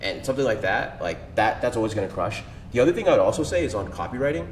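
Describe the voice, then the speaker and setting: A man's voice: animated, fast talker. A man talking casually as a podcast guest, enthusiastic and slangy, with lots of fillers. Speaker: cold email agency owner, guest. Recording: echoey room, distant mic, faint rumble.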